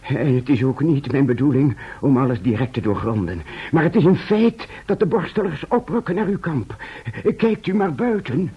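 An earnest, anxious medieval townsman gives warnings in a radio play. His voice is highish and very husky, with animated swings. The recording is dull and old. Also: normal speed. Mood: urgent, grave. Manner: hesitant, earnest, old-fashioned wording. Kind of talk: Dutch radio drama acting.

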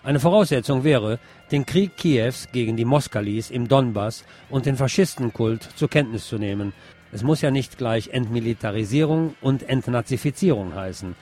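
A man's voice: medium pitch, normal speed, darkish tone. A man reads scripted news commentary aloud as a presenter. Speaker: podcast presenter reading press articles.

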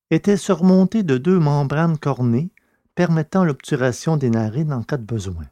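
A man narrating a scripted story in a measured, literary reading style. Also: medium pitch, mellow, no echo, clean studio sound.

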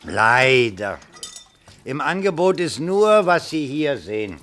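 An actor playing a chatty, folksy pub landlord in a radio play, knowing, teasing and colloquial. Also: male voice, medium pitch, sing-song, takes it slowly, a bit bright, exaggerated voice. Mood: amused. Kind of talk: 1970s German radio play acting.

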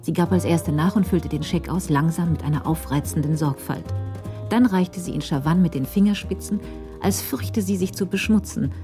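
A woman narrating a scripted German radio crime drama in a measured, literary delivery. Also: fairly low pitch, dark tone.

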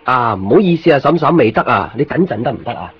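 A man's voice: medium pitch, animated, fast talker, a little hiss or hum, natural voice. A man playing an easygoing young copy shop keeper who narrates and chats in a Hong Kong Cantonese radio drama. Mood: friendly. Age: young adult. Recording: muffled radio recording with music.

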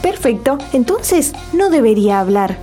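A woman's voice: a little high, very animated, normal speed, warm tone, faint music behind. A woman narrating a scripted radio documentary.

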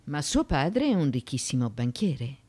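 A proud foreign-born woman, once a slave and courtesan, in a radio drama. Her voice is low and she speaks very deliberately, with long weight on her words. She declaims her plea to the judges with defiance, moving between hushed and forceful delivery. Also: big pitch swings, heavily acted. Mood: solemn pleading. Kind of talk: theatrical radio drama monologue.

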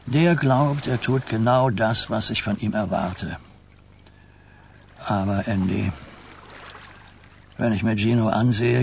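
An actor playing an aging mafia boss in a radio play, rueful, halting and confiding. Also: male voice, fairly low pitch, vocal fry, a little hiss or hum. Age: older.

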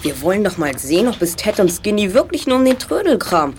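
A woman's voice: mid-range voice, sing-song, fairly quick, darkish tone, a little hiss or hum, close to an everyday voice. A woman playing a confident, polite teenage boy detective in an audio drama, composed and articulate. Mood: decisive. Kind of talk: German audio drama acting.

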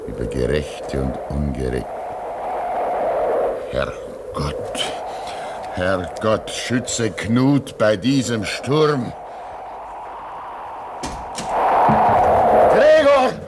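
A noisy old radio drama recording carries a weary, devout old man. His voice is very low, and he speaks slowly and solemnly, like one stranded at a remote polar outpost. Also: sing-song, heavily acted. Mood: anxious, imploring. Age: older. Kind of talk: German radio play acting.

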